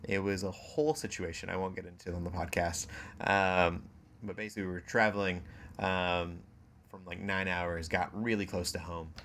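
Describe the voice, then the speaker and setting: Male podcast host, lowish voice, casual and self-deprecating, audible background noise. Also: steady tone, moderate pace, fairly bright tone. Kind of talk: casual chat.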